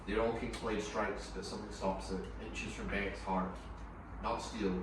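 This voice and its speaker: A distant, heavily echoing recording with clear noise behind a man narrating screen directions. The voice is low, reading a film script in dramatic fashion. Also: little pitch movement, moderate pace. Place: echoey room at a group table read. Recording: very echoey, distant, noisy room.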